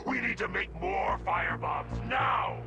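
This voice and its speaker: A man voicing a manic, fire-obsessed ghoul raider in a video game, with a gravelly, fanatical delivery. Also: high voice, expressive, heavily acted. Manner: manic, fanatical, drawn-out menace. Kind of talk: video game character acting. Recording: loud music behind the voice. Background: loud game music.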